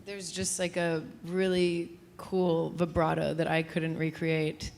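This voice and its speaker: A woman host with a lowish, fairly flat-toned speaking voice. She asks thoughtful, admiring questions and keeps the talk moving. The conversation was recorded at a live music venue. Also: slow pace, gravelly. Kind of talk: interview host chat.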